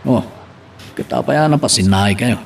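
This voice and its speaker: A man's voice: mid-range voice, moderate pace, creaky, slight background noise, close to an everyday voice. A man with an Ilocano accent playing an intense, bitter man with a grudge in a radio drama. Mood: uneasy.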